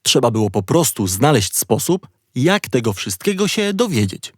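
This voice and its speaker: A man narrating a children's story in an expressive, playful storytelling manner. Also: medium pitch, moderate pace, husky, dry recording, studio quality.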